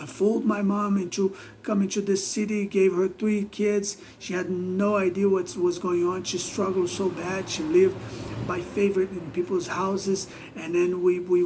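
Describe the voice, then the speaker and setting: A man with a Brazilian accent and a voice set high for a man talks straight to his viewers. He rambles and halts, stumbling over restarts as he circles back to his points in a loose, casual monologue. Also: a little mellow, slight background noise. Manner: rambling, halting, many restarts. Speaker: vlogger.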